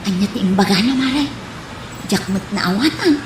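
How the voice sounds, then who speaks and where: A woman's voice: medium pitch, expressive, moderate pace, natural voice. A woman with an Ilocano accent playing a suspicious, nagging friend in a comedy radio drama. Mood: puzzled, wary. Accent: Ilocano, from the Philippines. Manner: suspicious, complaining. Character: wary, nagging woman friend who distrusts strangers. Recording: echoey room, faint background noise.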